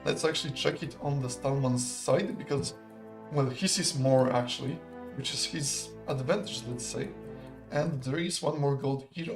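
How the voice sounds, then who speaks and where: A young man, commentating a live strategy game in a relaxed, chatty, analytical way and laughing often. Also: on the high side. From Poland. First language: not English. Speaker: esports caster.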